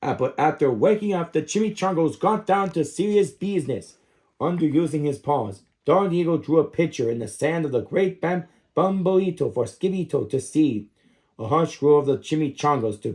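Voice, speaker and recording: Animated high-voiced man reading stories. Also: moderate pace. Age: 30s. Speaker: storytime reader. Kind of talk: expressive children's story reading.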